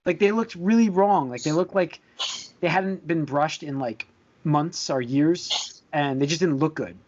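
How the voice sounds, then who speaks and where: Man, podcast host, slightly high voice. Halting, hedging, conversational delivery. Dull, lo-fi sound. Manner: halting, hedging, repeats words. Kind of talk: casual chat about movies and shows. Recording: dull lo-fi voice recording.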